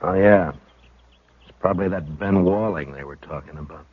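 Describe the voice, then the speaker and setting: An actor playing a steady frontier marshal who also narrates, speaking low, measured and gentle in a 1950s radio drama. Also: male voice, husky, dry recording. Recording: muffled vintage radio broadcast.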